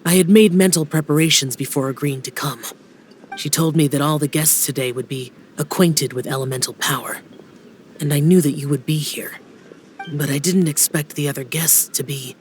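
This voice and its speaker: A man's voice: on the high side, fairly even intonation, normal speed, natural voice. A man playing a reserved, brooding young immortal guardian in a dubbed fantasy game, speaking solemnly and formally, with some hesitation. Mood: reflective, melancholy. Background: soft music.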